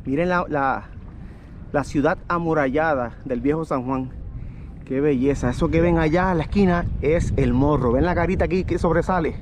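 Puerto Rican man in his 40s, high and eager, action-cam vlog from a kayak on the bay. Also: normal speed, noisy background. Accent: Puerto Rican Spanish. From Puerto Rico. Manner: excited, cheering, speaks to viewers. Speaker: kayaking vlogger. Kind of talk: upbeat travel vlog narration. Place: outdoors on a kayak on open bay water. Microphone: action camera on the kayak, sometimes held at arm's length.

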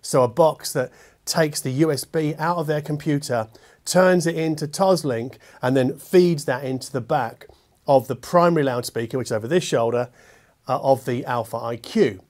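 A middle-aged man presenting a product review conversationally, with measured, emphatic phrasing and casual asides. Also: medium pitch, a little mellow. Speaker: host, audio gear reviewer. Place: furnished living room with acoustic panels, indoors.

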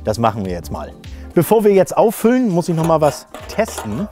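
A man's voice: on the high side, huge pitch swings, brisk, music in the background. A middle-aged man, chatting informally and jokingly as he thinks aloud through a hands-on product test. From northern Germany. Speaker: camping video host. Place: camping awning, outdoors and inside the tent.

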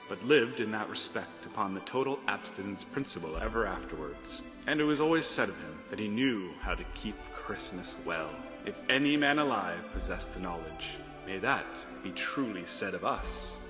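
A man's voice: lowish voice, animated, normal speed, few pauses. A man narrating a classic tale in a scripted, dramatic storybook style. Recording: long hall reverb, music behind.

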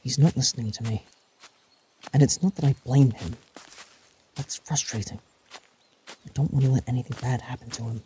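A man's voice: medium pitch, steady tone, normal speed, long pauses, dark tone, slight background noise, lightly acted, poor audio. A man performing earnest, alarmed adventurers in a fan-made audio drama, with dramatic, emotive delivery. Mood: worried, frustrated. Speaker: voice actor performing all parts.

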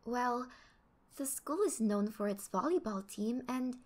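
A woman playing a friendly, sporty schoolgirl confiding in her crush, speaking softly and half-whispering in an ASMR roleplay. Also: a little high, unhurried. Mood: warm, earnest. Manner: soft, half-whispered, casual.

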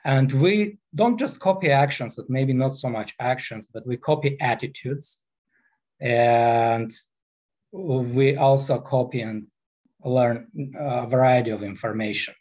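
A man in his forties from Armenia with an Armenian accent, giving an online presentation on a headset mic in an unhurried, halting way full of fillers. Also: medium pitch, a little mellow. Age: 40s. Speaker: guest presenter. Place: small room, indoors. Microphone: headset microphone.

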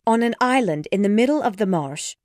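A man voicing a frightened, battered young wife in a high pleading falsetto, dry close sound. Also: lively intonation, fairly quick, darkish tone, dry recording. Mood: urgent.

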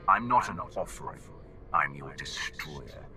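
An actor playing a proud, defiant dark warrior ruler in a video game, commanding and scornful. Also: male voice, a bass voice, lively intonation, measured pace, heavily acted. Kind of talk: video game cutscene acting. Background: game music.

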